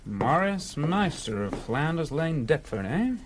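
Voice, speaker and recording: Blunt British police detective. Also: male voice, on the high side, sing-song, unhurried, noisy background. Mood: dour. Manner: curt, challenging. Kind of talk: radio drama acting.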